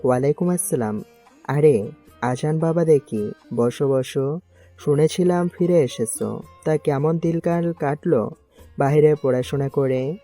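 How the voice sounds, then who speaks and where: Older village teacher, acted by a man: fatherly, welcoming, fairly high yet very mellow and dark, music beneath. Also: measured pace, pauses often. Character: kindly older village schoolteacher, fatherly and proud. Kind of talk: dramatized romantic audio story.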